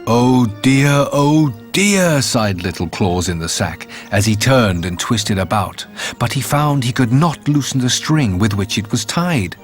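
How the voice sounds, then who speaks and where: A man narrating a fairy tale in a measured, expressive storybook reading. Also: on the low side. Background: faint music.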